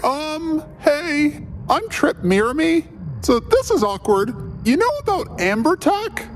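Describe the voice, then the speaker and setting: A man's voice: extremely high pitch, big pitch swings, slow pace, slight background noise, pitched above the performer's own voice, full character voice. A man voicing an awkward, hesitant small-time battery seller in a spoof audio-fiction advertisement, rambling with understated boasts. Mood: awkward pride.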